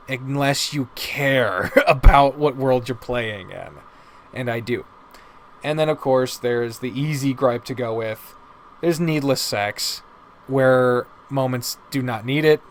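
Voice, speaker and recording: A man with a mid-pitched voice talks as a casual video reviewer over noticeable background noise. He rambles through his opinions and swears freely and often. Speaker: video host reviewing visual novels.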